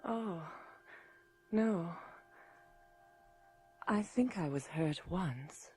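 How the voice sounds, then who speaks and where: An actress playing a dreamy, mysterious young lady in a gothic radio drama, with a breathy voice. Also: expressive, drawn-out words, warm tone, faint music behind. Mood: dazed, dreamy. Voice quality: breathy, low.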